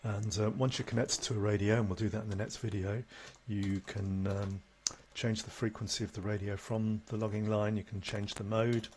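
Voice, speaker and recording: A man presenting an informal step-by-step software tutorial, matter-of-fact and a little halting as he demonstrates. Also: fairly low pitch, flat delivery, noisy background.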